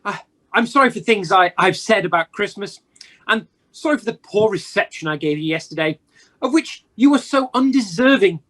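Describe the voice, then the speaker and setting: An amateur actor plays an old miser uncle who has found his heart. The delivery is theatrical and deliberate, with emphatic, teasing repentance, as in a radio play recorded over a home video call. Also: medium pitch, expressive, pushed and bright. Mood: tender, repentant.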